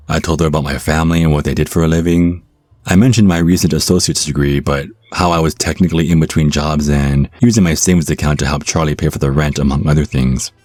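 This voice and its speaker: Man acting nervous young american guy in an audio roleplay, deep voice, kinda stammery and sorry, very dry recording. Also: darkish tone, no echo. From United States. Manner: stammering, apologetic. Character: awkward, flustered young American man on a date. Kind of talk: audio roleplay acting and first-person narration.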